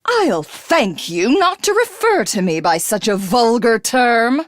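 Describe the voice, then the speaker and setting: Game villain: proud, cruel female alien warlord, formal and taunting, widely varied pitch, unhurried delivery. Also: sing-song, no room sound, clean studio sound. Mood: haughty, composed. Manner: imperious, formal, theatrical, taunting. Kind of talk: dramatic video game voice acting.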